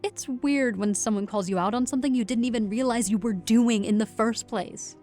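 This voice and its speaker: A woman's voice: a little high, moderate pace, darkish tone, faint music behind, natural voice. An actress playing a stubborn, wounded young farm woman in a naturalistic audio drama, sardonic and determined. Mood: brooding. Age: young adult. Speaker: lead actor in audio drama. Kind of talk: naturalistic audio drama acting with inner narration.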